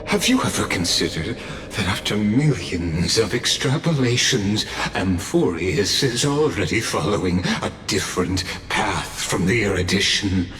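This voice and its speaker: An actor playing a grandiose, gloating villain in a video game cutscene, speaking slowly and theatrically with mocking laughter. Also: male voice, sits low, a little hiss or hum, full character voice. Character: grandiose male villain, gloating scientist-god. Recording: echoey with loud music behind.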